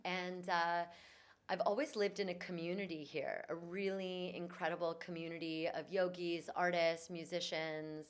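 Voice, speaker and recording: A woman's voice: lowish voice, flat delivery, moderate pace, clean tone. A woman guest, a yoga teacher and vocal coach, telling her story in an earnest, reflective way during an interview. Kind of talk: conversational interview. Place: in Bali.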